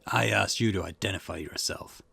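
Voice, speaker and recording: A man voicing a gruff, weary older chief detective from a visual novel, with a rough, terse delivery. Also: sits low, brisk, sharp tone, no echo, full character voice. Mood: stern, irritated. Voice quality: gravelly, rough. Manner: terse, stern.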